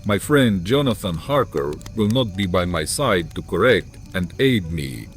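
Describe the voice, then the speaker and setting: Slow, deep, courtly vampire Count. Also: male voice, sing-song, soft background music, exaggerated voice. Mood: courteous, probing. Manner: formal, archaic, dramatic phrasing. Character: courtly, menacing old foreign Count, proud vampire nobleman. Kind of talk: dramatized gothic audiobook acting.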